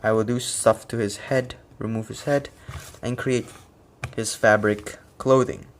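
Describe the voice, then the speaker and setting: A man's voice: fairly low pitch, steady tone, unhurried, slight background noise. A man casually explaining his custom figure work step by step, with occasional fillers. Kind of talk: casual product walkthrough.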